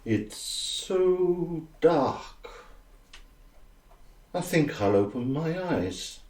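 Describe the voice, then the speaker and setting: An actor playing a dreamy, sluggish poet in a radio drama, reciting in a hushed, drawn-out manner. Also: male voice, a little high, animated, recorded from a distance. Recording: echoey room, off mic.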